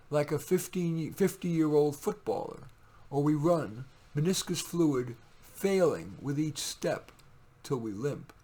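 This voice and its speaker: A man with a husky voice reading his own poems in a deliberate, wry way, with informal asides. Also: a little high, a little mellow.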